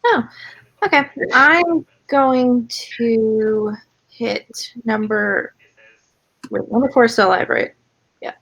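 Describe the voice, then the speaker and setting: A woman's voice: on the high side, slow, pauses often, fairly bright tone, breathy edge, no echo, dull audio. A woman chatting casually as a player in an online tabletop roleplaying game.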